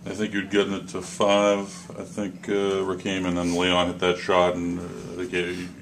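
A man's voice: sits low, monotone, normal speed. A man, a sports reporter, putting direct questions at a postgame press conference. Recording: noisy room.

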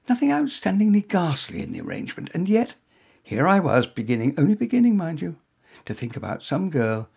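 A man's voice: mid-range voice, vocal fry, darkish tone, breathy edge. A middle-aged man reading his own short story in the first person, in a dry, wry, conversational way, full of asides and hesitations.

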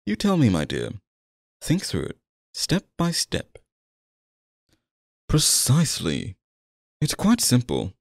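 A man playing a sinister, possessive, courtly stage magician in an ASMR roleplay, coaxing the listener with theatrical, drawn-out phrasing. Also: mid-range voice, huge pitch swings, gravelly, no room sound, clean studio sound, heavily acted. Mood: suave, showy.

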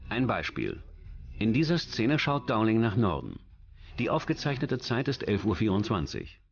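A man's voice: fairly low pitch, sing-song, moderate pace, highs cut off. A man reading scripted documentary narration in as the narrator. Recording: music under the voice. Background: background music.

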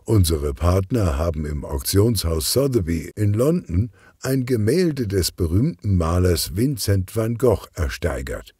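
A self-assured female crime boss from a children's audiobook, acted out by a man. The delivery is mellow and slow, with an amused, smooth confidence and lively swings of melody. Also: low voice, dry recording, studio quality, full character voice. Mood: smug, amused.

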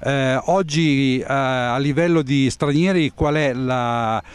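A man's voice: medium pitch, takes it slowly, clear, resonant tone, dry recording. A middle-aged man, a local TV interviewer, asking formal questions with some hesitation into a handheld mic outdoors on a snowy ski slope.

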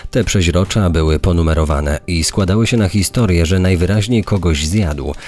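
Very deep-voiced man reading a recollection. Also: moderate pace, darkish tone. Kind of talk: scripted read-out recollection.

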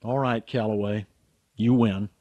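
An actor playing an earnest, stubborn writer in a radio drama, plain-spoken and terse. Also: male voice, on the low side, steady tone, unhurried, dark tone, highs cut off, lightly acted. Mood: resigned, terse. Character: earnest, stubborn American writer, narrating his own story. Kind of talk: radio drama acting and first-person narration.

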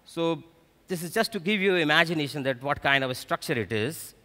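A middle-aged man with an Indian accent giving a halting, explanatory technical presentation at a conference. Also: on the high side, expressive, sharp tone. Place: stage of a large conference hall. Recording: echoey large hall PA sound.

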